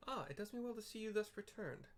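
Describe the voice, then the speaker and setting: Man reading as an eloquent young diplomat, higher-pitched. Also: expressive, quick pace. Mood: courteous, pleased. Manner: formal, wordy, courtly. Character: eloquent, formal young male diplomat in fantasy game. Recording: clear background noise.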